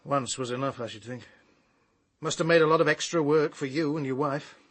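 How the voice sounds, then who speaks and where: Sardonic British detective, fairly high-pitched. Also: male voice, a little fast, a bit bright. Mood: brisk questioning. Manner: dry, probing, sardonic. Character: shrewd, sardonic male British police inspector. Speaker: police inspector. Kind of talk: radio drama acting.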